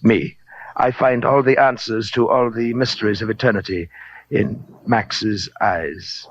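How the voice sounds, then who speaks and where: An actor playing a huge, urbane, menacing gentleman in a radio crime drama, speaking in a florid, deliberate way. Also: fairly low pitch, fairly even intonation, natural voice. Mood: languid, boastful. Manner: florid, pompous, silky, deliberate. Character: huge, urbane, menacing gentleman collector of fake Oriental art. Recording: muffled, hissy old radio recording.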